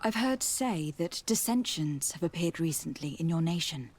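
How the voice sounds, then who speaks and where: A woman's voice: low-pitched, lively intonation. Composed, courteous young noblewoman. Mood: composed, inquiring. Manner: measured, courteous, formal. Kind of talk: video game dialogue acting.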